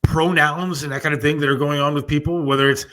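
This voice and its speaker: A man hosting a casual podcast, briskly reading items off a list and chatting with his co-host. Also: on the high side, steady tone, over a noisy crowd.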